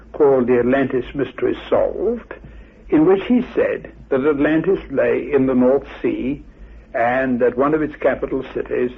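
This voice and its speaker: Husky, creaky man, muffled sound. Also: mid-range voice. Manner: courteous, formal, politely dismissive. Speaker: interviewed expert on Atlantis theories. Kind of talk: radio interview, measured scholarly exposition. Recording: muffled, band-limited radio recording.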